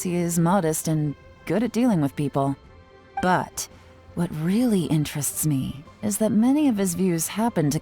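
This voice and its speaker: A woman's voice: lowish voice, dark tone, lightly acted. A woman playing a cool, shrewd investigator in a video game, composed and analytical.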